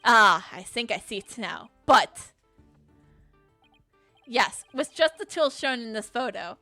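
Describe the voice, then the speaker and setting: A woman's voice: on the high side, expressive, slow pace, bright, forceful tone, dry recording, faint music behind, full character voice. A woman reading the lines of an earnest young male defense attorney from a courtroom game, explaining his case step by step. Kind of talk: reading game dialogue aloud.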